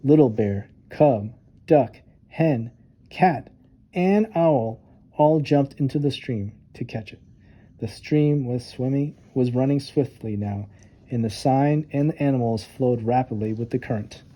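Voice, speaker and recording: Man, very mellow dark tone, children's storybook narrator, expressive, dramatic emphasis. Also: mid-range voice, measured pace. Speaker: storytime reader.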